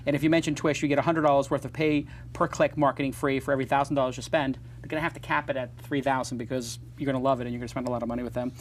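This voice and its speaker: A man hosting a casual startup interview show, talking fast and joking, with opinionated asides and sponsor reads. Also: mid-range voice, steady tone, slight background noise. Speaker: host.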